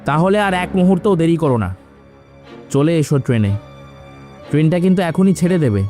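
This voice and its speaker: Male voice: urgent young lover, slightly high, mellow, cartoon, music bed. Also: expressive, fairly quick, lightly acted. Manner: urgent, pressing.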